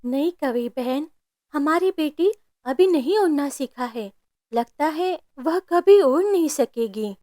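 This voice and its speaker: A woman acts out a cartoon mother bird, devoted and protective. The voice is high and swings widely with emotion, emphatic and heartfelt, in a very dry recording. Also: lively intonation, slow pace, dark tone, no echo. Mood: sad, resigned. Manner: tender, emphatic, indignant when her child is threatened. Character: loving, protective mother bird, adult female, fiercely devoted. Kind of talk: Hindi cartoon story voice acting.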